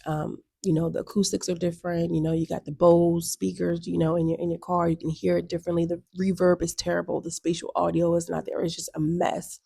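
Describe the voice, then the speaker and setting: A woman's voice: fairly low pitch, level tone, fairly quick, vocal fry, few pauses, mellow, husky. A woman in her thirties giving blunt, sassy music commentary for her video channel, with lots of ums and asides. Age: 30s. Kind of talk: casual opinion commentary.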